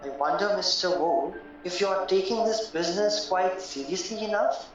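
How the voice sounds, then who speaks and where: A man acting in an audio play as a cautious, polite solicitor who asks careful, formal questions with gentle doubt. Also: high-pitched, normal speed, close to an everyday voice. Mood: grave, doubting. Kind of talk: stage-style audio drama acting. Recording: very echoey, muffled, distant, music underneath.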